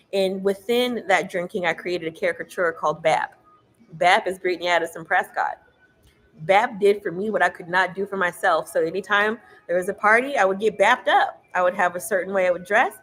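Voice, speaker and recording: A woman with a loud, carrying voice giving a casual tarot reading, emphatic and preachy, swearing freely. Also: mid-range voice, poor audio. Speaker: tarot reader.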